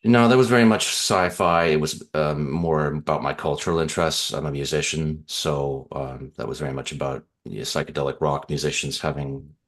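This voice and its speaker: A man from Canada with an extremely low, deep voice talks through his answers in a relaxed interview. He thinks aloud, circling back with fillers and small restarts as he explains himself. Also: moderate pace, hardly any pauses. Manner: thoughtful, rambling with fillers and restarts. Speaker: author guest.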